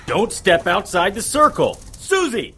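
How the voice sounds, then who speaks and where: A man playing a gruff, world-weary supernatural investigator in an audio drama, speaking in a wry, terse and insistent way. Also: sits high, huge pitch swings, measured pace, pushed and bright, a little hiss or hum, heavily acted. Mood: alarmed. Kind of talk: audio drama acting and first-person narration.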